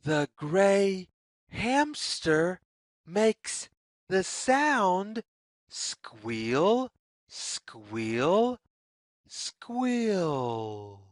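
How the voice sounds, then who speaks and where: A woman narrates a children's storybook at an extremely unhurried pace. Her pitch swings up and down in a sing-song way, with careful, deliberate phrasing. The recording is dry, with very little room sound. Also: big pitch swings, no echo. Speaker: children's read-aloud narrator, teacher. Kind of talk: slow, expressive picture-book read-aloud for young children.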